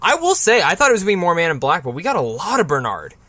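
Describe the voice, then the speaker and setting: A man's voice: high-pitched, wildly swooping pitch, rapid-fire, bright, forceful tone, dry recording. A man on a podcast panel giving enthusiastic, conversational opinions in a casual review chat.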